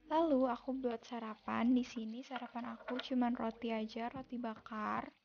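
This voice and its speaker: A young woman from Indonesia narrating her daily vlog as a calm, unhurried voice-over, recorded separately in a quiet room. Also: on the high side, vocal fry, lo-fi sound, slight background noise. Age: young adult. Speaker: vlogger. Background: soft background music.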